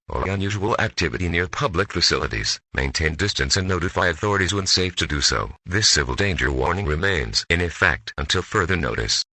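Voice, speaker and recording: Male emergency announcer, authoritative and formal. Raspy tone with lively swings in pitch. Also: no room sound. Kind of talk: scripted emergency broadcast announcement.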